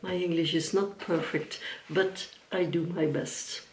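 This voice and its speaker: A woman's voice: fairly low pitch, fairly even intonation, unhurried, a little hiss or hum. A middle-aged woman from Belgium, whose first language is Dutch, hosting a casual sewing vlog in careful English with frequent ums. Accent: Dutch-speaking Belgian (Flemish). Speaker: YouTube sewing and knitting host. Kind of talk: casual vlog talk to camera. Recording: roomy echo from some distance.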